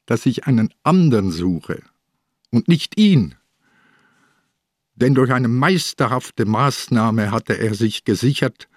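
Old male inspector, ailing, grimly determined, very slow weighty delivery, dry studio sound, radio crime drama. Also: on the low side, dry recording. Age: older. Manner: deliberate, emphatic, weighty. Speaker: actor in a crime radio play. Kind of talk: German radio drama acting.

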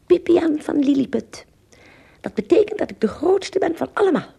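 A woman playing a pompous, excitable tiny king in a radio play, with a raspy, creaky voice and a grandiose manner. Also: very high voice, normal speed, full character voice. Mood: grand, admiring.

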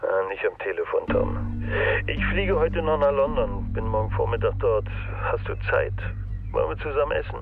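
An actor playing a casual, laconic friend heard through a telephone filter in a German radio drama. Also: male voice, mid-range voice, animated, moderate pace, vocal fry, phone-quality sound. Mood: laconic, friendly. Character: Vito on the phone, casual male friend separating from wife. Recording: thin telephone-filtered voice over music.

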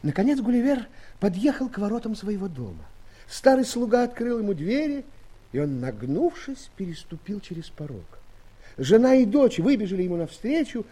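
An actor playing a wry storyteller, narrating a fairy tale with theatrical, expressive delivery and dramatic pauses. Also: male voice, sits high, husky, full character voice. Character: wry male storyteller addressing young friends. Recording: 1970s studio audio play, music behind.

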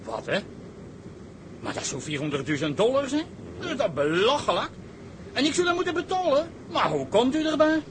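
Wealthy yacht owner, genteel chatty manner, swooping higher voice, self-satisfied, noisy sea-drama recording. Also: male voice, big pitch swings, brisk, fairly bright tone, exaggerated voice. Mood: shocked, indignant. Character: wealthy, affable yacht owner, flustered when money is at stake. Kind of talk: Dutch dramatised sea story acting.

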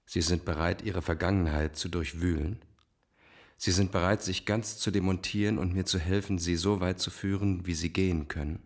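An actor playing a controlled, manipulative psychiatrist, probing and commanding, in a radio thriller. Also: male voice, sits low, steady tone, normal speed. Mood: challenging. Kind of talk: German radio thriller acting.

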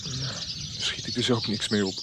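An actor playing an earnest, inquisitive travelling physician in a conversational radio-play style. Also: male voice, mid-range voice, normal speed, lightly acted. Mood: curious. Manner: probing, conversational. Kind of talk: radio drama acting. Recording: clear noise, birdsong behind.

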